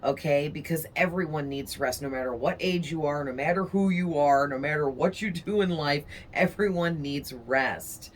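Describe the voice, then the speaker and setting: A woman tarot reader talking casually to her viewers, her voice raspy and hoarse from strain, rambling along with frequent "okay?" tags. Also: deep voice, moderate pace, few pauses, a little hiss or hum. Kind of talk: casual chatty monologue to viewers.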